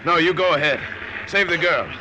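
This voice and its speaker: A man plays a worn-out state trooper in a 1940s radio drama, speaking in short, tired lines in a fairly high voice. The old recording has a clear hiss beneath. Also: normal speed, lightly acted. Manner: terse, tired. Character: tough, dying state trooper.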